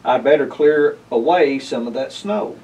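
A man's voice: mid-range voice, a little slow, darkish tone, recorded from a distance, close to an everyday voice. A man voicing a grumbling, practical old man in a children's storybook read-aloud. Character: grumbling, practical old male farmer-like homebody.

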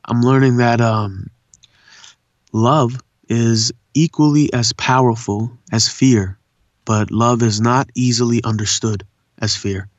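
A man's voice: medium pitch, fairly even intonation, takes it slowly, no echo, dull audio. A man born in India and raised in Southern California, with an urban SoCal accent, chatting earnestly as a voice actor guest and often saying "man". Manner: laid-back, earnest, says "man" a lot. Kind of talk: casual interview chat.

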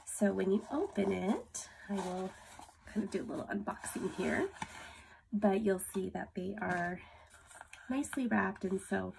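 A woman's voice: mid-range voice, lively intonation, unhurried, gravelly, noisy background. A woman in her thirties, congested from a cold, chatting casually to camera as she demonstrates a lipstick, with many ums. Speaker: beauty vlogger. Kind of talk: casual product demo to camera. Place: small room at home, indoors.

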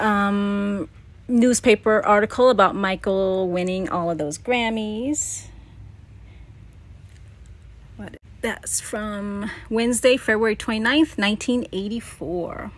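An American woman in her late forties giving a casual, chatty, nostalgic and self-deprecating show-and-tell commentary. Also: mid-range voice. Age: late 40s. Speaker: vlogger showing a personal scrapbook. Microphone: handheld phone. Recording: noisy handheld home phone recording.

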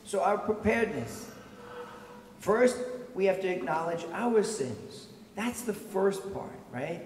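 A middle-aged male pastor with a raspy voice, giving a conversational sermon from a pulpit mic and asking the congregation questions. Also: sits high, very animated, takes it slowly, a little mellow, recorded from a distance, a little hiss or hum. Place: large church, at a pulpit. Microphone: stand mic at the pulpit. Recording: echoey church heard from afar.

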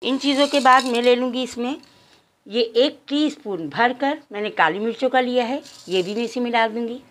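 A woman's voice: a little high, moderate pace, a bit bright, slight background noise. A woman hosts a cooking channel. She walks viewers through a recipe step by step in her home kitchen, addressing them formally and counting out each measure aloud.

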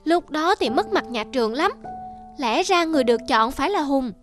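Woman voicing a high-pitched, earnest schoolboy. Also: expressive, normal speed, faint music behind. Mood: downcast, doubtful. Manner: polite, hesitant. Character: earnest, self-doubting schoolboy pupil. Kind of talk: cartoon dubbing.

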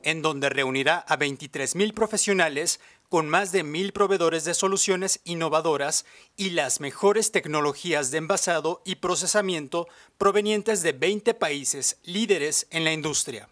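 A man reads scripted TV voice-over in a fairly high voice. His delivery is even and announcer-like, and each line is clearly read from a script. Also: a little slow. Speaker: voice-over narrator. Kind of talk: scripted narration.

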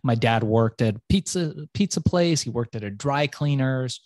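A man from Iran, whose first language is Farsi, telling his life story as an interview guest in a swaggering, profane, animated way. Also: medium pitch, moderate pace, no echo, clean studio sound. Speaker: entrepreneur guest.

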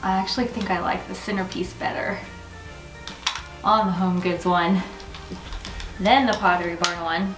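A woman's voice: lowish voice, fairly even intonation, moderate pace, long pauses, over music, rough recording. A woman in her forties hosting a chatty home decor vlog, talking through a table setting in her dining room with a camera across the table. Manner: chatty, bubbly, self-teasing. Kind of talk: casual how-to vlog.

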